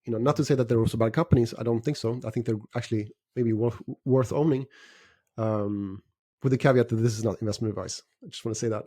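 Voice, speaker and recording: A middle-aged man from Sweden, answering interview questions in a measured way with frequent fillers and restarts. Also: mid-range voice, fairly even intonation, dark tone, no room sound, clean studio sound. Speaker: guest investor.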